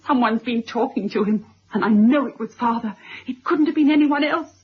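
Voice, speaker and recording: High-voiced, distraught young woman. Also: normal speed, breathy edge. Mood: tearful, frantic. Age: young adult. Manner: emotional, pleading, accusing. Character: distraught, petulant, melodramatic young daughter. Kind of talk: 1970s radio drama acting. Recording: dull old radio recording.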